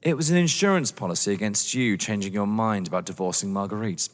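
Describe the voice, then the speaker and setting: A man's voice: lowish voice, fast talker, big-room sound, natural voice. A man playing a proud, guarded young lover in a theatrical audio drama, answering with dry retorts. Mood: unapologetic.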